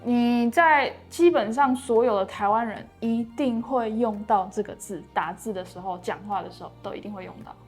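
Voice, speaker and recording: A young woman with a fairly high voice explains words in an easygoing, chatty way, dropping in quick yeses as she goes. Soft music plays faintly underneath. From Taiwan. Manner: relaxed and chatty, with quick affirmations. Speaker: co-host teaching Taiwanese words. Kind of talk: casual bilingual lesson chat.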